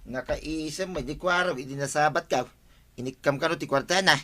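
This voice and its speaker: A man's voice: a little high, fairly quick, fairly bright tone, lightly acted. A man playing a cheeky, wheedling neighbour who asks favours, in an Ilocano radio comedy drama. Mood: calling, eager.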